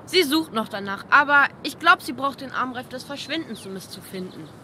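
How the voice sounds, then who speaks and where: Game kid role, adult actress: eager, imaginative boy, high youthful voice, crisp bright tone. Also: lively intonation, normal speed, slight background noise, heavily acted. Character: eager, imaginative young boy who loves comics and exploring. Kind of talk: naturalistic video game dialogue.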